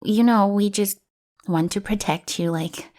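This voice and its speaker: A woman's voice: mid-range voice, unhurried, mellow, close to an everyday voice. A woman playing a devoted, lovestruck bodyguard in an audio roleplay, earnest and awkward, often hastily correcting herself. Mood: flustered earnest. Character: devoted, flustered young female bodyguard, hopelessly lovestruck and clingy. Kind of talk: acted audio roleplay addressed to listener.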